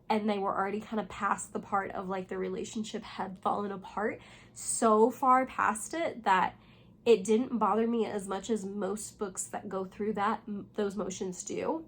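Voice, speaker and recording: A young woman with a hoarse voice, still recovering from losing it, chatting casually about books in a vlog and rambling with lots of fillers. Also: medium pitch, fairly even intonation, unhurried. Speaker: booktuber. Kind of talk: casual reading vlog chat.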